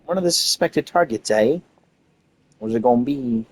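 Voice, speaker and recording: A man's voice: medium pitch, expressive, moderate pace, a little hiss or hum. A young man giving casual, rambling game commentary with enthusiasm, often repeating himself. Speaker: game streamer.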